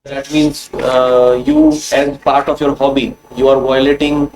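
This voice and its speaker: A man with an Indian accent, formally questioning a candidate as an interview panelist in a measured, probing way. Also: medium pitch, expressive, darkish tone, rough recording.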